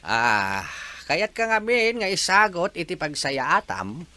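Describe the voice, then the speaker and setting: A man acting an insistent, forceful father in an audio drama. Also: a little high, very animated, slow, slight background noise, heavily acted. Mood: firm. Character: insistent father urging his daughter to marry.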